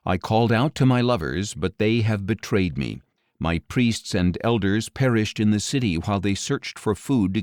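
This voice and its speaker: Solemn low-voiced man reading scripture. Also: a little mellow, no room sound, clean studio sound. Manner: measured, grave delivery with deliberate pauses. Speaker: audiobook narrator.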